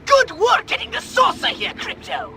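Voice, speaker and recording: A man playing a pompous, high-strung alien mastermind in a video game cutscene, theatrical and wordy. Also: big pitch swings, moderate pace, pushed and bright, slight background noise, heavily acted. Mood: haughty, scolding.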